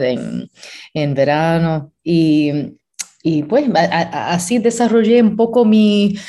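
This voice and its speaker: A woman opera stage director raised in Pennsylvania, answering interview questions thoughtfully with many pauses and restarts. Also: lowish voice, mellow. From Pennsylvania, USA.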